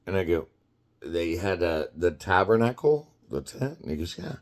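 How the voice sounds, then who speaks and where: A man in his fifties telling a casual story in a rambling, halting way, often laughing as he talks. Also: low-pitched, big pitch swings. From United States. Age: 50s.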